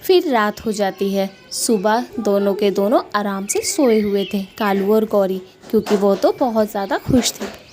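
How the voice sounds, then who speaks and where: A woman's voice: mid-range voice, expressive, unhurried, darkish tone, soft background music. A woman narrating a scripted Hindi cartoon story for children.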